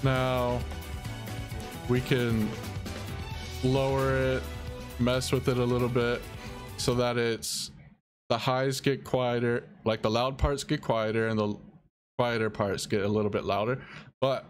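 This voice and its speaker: Young male streamer, quiet low-key delivery, drawn-out pace. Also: level tone, faint music behind. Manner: soft-spoken, halting, reads chat aloud. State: dry mouth. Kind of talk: casual live-stream tutorial.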